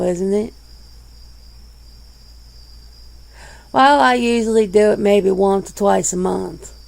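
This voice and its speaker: A woman voicing a laid-back, plain-spoken werewolf in an ASMR interview roleplay, talking in an unhurried, folksy, casual way. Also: mid-range voice, gravelly, darkish tone. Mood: frank, folksy.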